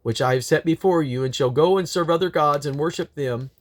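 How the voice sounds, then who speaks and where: This is a man with a somewhat higher-pitched voice, reading from scripture. His delivery is solemn and declamatory, as a reader presenting the text aloud. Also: brisk. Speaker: Bible reader.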